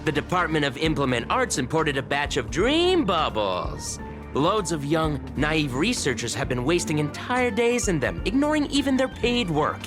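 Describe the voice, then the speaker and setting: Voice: medium pitch, moderate pace, natural voice. An actor voicing a chatty, weary, teasing researcher in a video game, with sighs and muttered asides. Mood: exasperated, mocking. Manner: expressive, sighing, muttering asides. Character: chatty, weary, teasing station researcher, a grumbling office senior. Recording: studio voice over game music.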